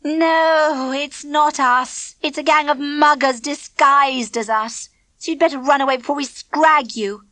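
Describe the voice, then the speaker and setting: A woman's voice: sits high, lively intonation, fairly quick, hardly any pauses, fairly bright tone, dry recording, pitched above the performer's own voice. A woman voicing a bossy, cricket-mad schoolgirl who talks in a bouncy, boastful, teasing way. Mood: mocking.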